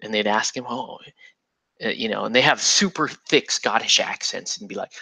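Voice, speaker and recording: Guy hosting a mini building vlog, bright sharp voice, rambly and jokey, lots of uhs and likes, dry sound. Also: medium pitch, animated, normal speed, gravelly, slightly rough, dry recording, rough recording. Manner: breaks into laughter and puts on silly voices. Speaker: miniatures hobby host. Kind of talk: casual chat while building models.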